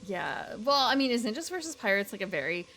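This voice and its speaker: A woman's voice: mid-range voice, big pitch swings, fast talker, sharp tone. An American woman giving casual, rambling live game commentary as a streamer, chatting informally with viewers. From United States.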